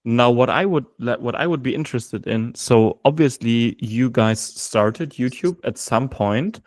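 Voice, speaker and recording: A man's voice: mid-range voice, a little slow, dry recording, clean studio sound. A man hosting a podcast interview, who gives short acknowledgements and asks long, winding questions. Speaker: podcast host.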